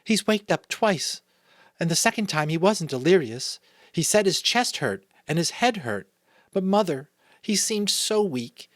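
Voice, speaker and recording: A man's voice: high voice, big pitch swings, a little slow, no echo, studio quality, pitched above the performer's own voice. A man with an American accent voicing a worried, earnest young mouse child in a storybook. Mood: anxious.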